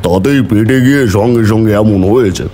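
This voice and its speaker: A man voicing a calm village doctor in a cartoon, explaining things in a measured way. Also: on the low side, soft background music, close to an everyday voice. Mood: matter-of-fact. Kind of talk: cartoon voice acting.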